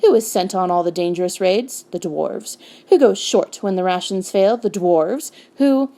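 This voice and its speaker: A woman's voice: medium pitch, lively intonation, normal speed, mellow, close to an everyday voice. A woman voicing a bitter, defiant male dwarf for a storybook, cold, sneering and argumentative.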